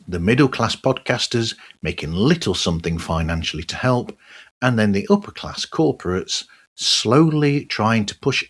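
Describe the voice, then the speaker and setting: A middle-aged man giving a conversational solo podcast monologue in measured, halting short phrases. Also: sits low. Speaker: podcast host.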